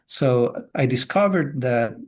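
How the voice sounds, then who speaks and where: A middle-aged man from Chile, a business coach, talking casually as a podcast guest in a measured way with many filler sounds. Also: medium pitch, expressive.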